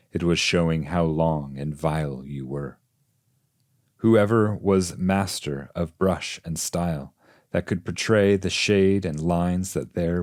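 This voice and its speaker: A man with a low-pitched voice narrates a literary audiobook of classic verse. His delivery is measured and solemn, and the recording is dry, with very little room sound. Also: darkish tone, no room sound. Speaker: translator and reader of a classic poem.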